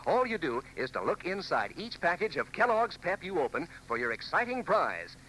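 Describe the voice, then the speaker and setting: A man reading a peppy radio commercial in a salesy, lively way. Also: high-pitched, a little hiss or hum, rough recording. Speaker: commercial announcer. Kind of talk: radio ad read.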